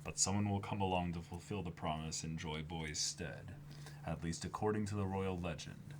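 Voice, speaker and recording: A man doing a dramatic read-aloud mixed with casual reactions, theatrical and excitable as co-host of a stream. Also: sits low, moderate pace, background noise.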